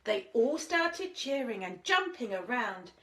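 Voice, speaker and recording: A woman reading a children's story aloud in a dramatic, expressive way, speaking directly to young listeners. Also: on the high side, a little slow. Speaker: infant school teacher reading stories to children.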